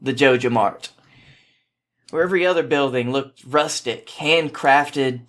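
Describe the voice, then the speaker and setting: Man, medium pitch, story narration, podcast host, informal delivery. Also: unhurried, fairly bright tone. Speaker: podcast host reading fan fiction.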